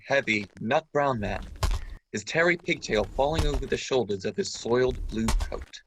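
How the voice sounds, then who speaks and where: A man playing an earnest storyteller who recalls a boyhood adventure, narrating a radio play in a measured, literary way. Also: on the low side, background noise, natural voice.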